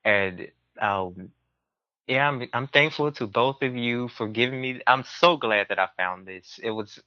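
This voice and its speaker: A man's voice: mid-range voice, unhurried, dry recording. A man talking casually and earnestly as a podcast guest, effusive and heartfelt. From southern United States. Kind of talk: casual heartfelt interview talk. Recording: muffled, band-limited audio.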